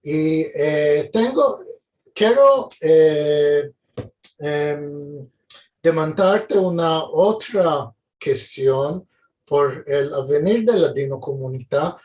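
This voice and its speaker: A male host speaks very slowly and hesitantly, filling his pauses with "eh". He sounds distant from the mic. The video call audio is dull and lo-fi. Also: a little high, lively intonation, darkish tone. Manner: hesitant, many fillers. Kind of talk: hosting an online talk.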